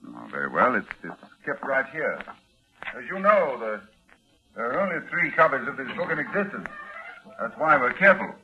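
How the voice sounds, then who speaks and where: An actor playing a dignified, fearful university scholar, with dramatic educated delivery in a 1940s radio horror drama. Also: male voice, medium pitch, sing-song, normal speed, breathy edge, lo-fi sound. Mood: guarded. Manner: dramatic, educated, hesitant when alarmed. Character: learned male university librarian, dignified, appalled and fearful. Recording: band-limited 1940s radio recording with music.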